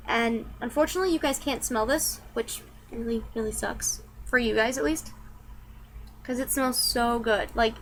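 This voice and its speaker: A woman's voice: sits high, a little hiss or hum, rough recording. Chatty young woman. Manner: chatty, rambling, lots of ums and likes. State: a little sick and nasal. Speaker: vlogger. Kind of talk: casual product review vlog.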